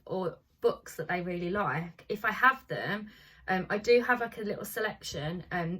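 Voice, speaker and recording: A woman's voice: mid-range voice, a bit bright, breathy edge, far from the mic, poor audio. A woman primary school teacher reading aloud to children in an informal, chatty way.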